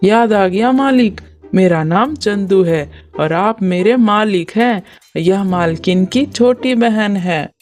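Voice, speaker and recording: A woman's voice: mid-range voice, slow, hardly any pauses, darkish tone, lightly acted. A woman voicing a simple, forgetful male servant in a Hindi cartoon story, earnest and dim-witted. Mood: eager. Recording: faint music behind the voice.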